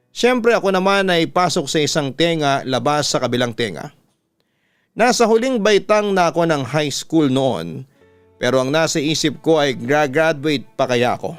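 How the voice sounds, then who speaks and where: A man with a Filipino accent reads a personal story in a radio announcer's dramatic style. His voice is smooth and resonant, pitched a little high for a man. Soft music plays underneath. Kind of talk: dramatic first-person letter narration. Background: music bed.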